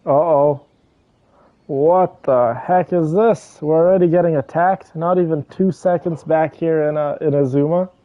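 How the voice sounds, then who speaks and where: A man's voice: fairly high pitch, unhurried, no room sound, phone-quality sound. A man chatting casually as he livestreams a game, laid-back and affectionate with his viewers. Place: bedroom, on a bed. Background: soft game music.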